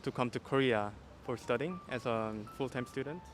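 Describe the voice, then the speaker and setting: A man's voice: mid-range voice, normal speed. Male street interviewer with music behind him. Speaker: street interviewer and channel host. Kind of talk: vlog intro and casual interview. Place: outdoors on a university campus.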